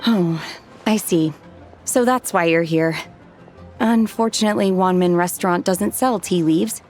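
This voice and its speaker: A woman playing a calm, earnest, reserved young restaurant helper in a video game, composed and polite. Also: lowish voice, unhurried, faint music behind, natural voice. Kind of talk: video game cutscene dub acting.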